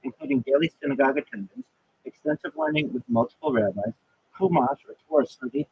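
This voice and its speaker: A man, a rabbi and livestreamer, reading a prepared self-introduction in a measured, list-like way with occasional restarts. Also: on the high side, wildly swooping pitch, vocal fry, narrow, tinny audio, a little hiss or hum. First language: not Hebrew.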